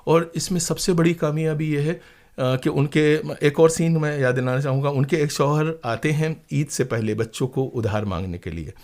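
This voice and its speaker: A man's voice: on the high side, normal speed, darkish tone. A man speaking as an interview guest, telling stories conversationally in an emphatic, dramatic way.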